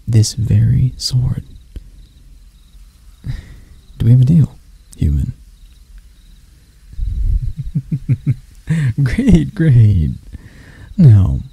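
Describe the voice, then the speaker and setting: A man playing a proud elf prince in a roleplay ASMR, speaking in a measured, haughty and intimate way to the listener. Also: mid-range voice, gravelly, mellow, husky, exaggerated voice. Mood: commanding, bargaining. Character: proud elf prince, stern captor softening into bashfulness.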